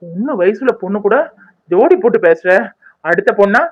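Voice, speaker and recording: A woman's voice: mid-range voice, expressive, moderate pace, highs cut off, natural voice. A woman acting a fierce, scolding village woman in a drama, with a confrontational, threatening manner. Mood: angry scolding. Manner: confrontational, threatening, rhetorical questions.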